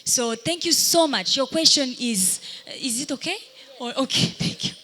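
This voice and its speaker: Woman speaking with halting reflection. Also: high voice, animated, a bit bright. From Burundi. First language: Kirundi. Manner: halting and reflective, pausing to search for words. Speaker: dancer and panelist. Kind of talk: live panel discussion answering audience questions.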